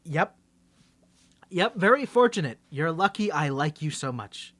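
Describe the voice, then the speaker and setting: A man's voice: a little high, animated, unhurried, a bit bright, dry recording. A man playing a nervous young guy hiding his superhero identity from his best friend in an ASMR roleplay, stammering through rambling excuses. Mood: defensive teasing. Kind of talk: ASMR roleplay audio drama.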